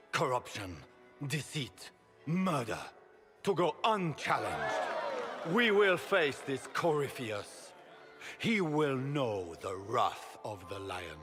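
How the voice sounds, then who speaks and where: Solemn soldier emperor, slow, in a reverberant hall. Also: male voice, a little high, sharp tone, exaggerated voice. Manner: grand, solemn, declamatory. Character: newly crowned emperor, proud battle-hardened soldier. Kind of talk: formal victory speech in a fantasy video game. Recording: long hall reverb, music underneath.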